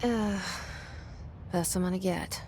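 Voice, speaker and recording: Dry sardonic young woman game protagonist, weary, lots of vocal fry, noisy background. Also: slow pace, mellow. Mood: dry, resolved. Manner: dry, sardonic inner monologue. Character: weary, wry young woman, determined agency director thinking privately. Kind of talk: video game character narration. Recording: clear background noise and rumble.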